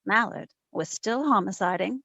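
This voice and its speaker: A woman playing a sharp, wry private investigator in an old-time radio detective drama, narrating and trading teasing endearments. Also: medium pitch, normal speed, vocal fry, no room sound, muffled recording. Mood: wry storytelling.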